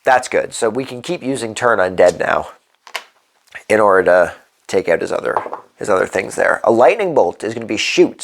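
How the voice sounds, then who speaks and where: Congested low-voiced man talking through a game. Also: gravelly. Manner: thinking aloud, working through rules and dice rolls. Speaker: board game channel host. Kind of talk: solo tabletop game playthrough commentary.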